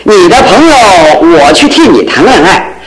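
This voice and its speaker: Very high-voiced, flustered jovial bachelor. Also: male voice, big pitch swings, normal speed, roomy sound, over a noisy crowd, poor audio. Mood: amused, surprised. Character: flustered, jovial young bachelor friend in romantic farce. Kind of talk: old-fashioned Chinese radio drama acting.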